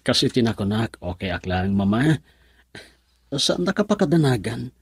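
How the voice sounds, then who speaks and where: A man's voice: medium pitch, sing-song, normal speed, slightly rough, no room sound, studio quality. A man acting in an Ilocano radio drama as a heartbroken, defensive drinker who broods over his lost love. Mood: tipsy, despondent.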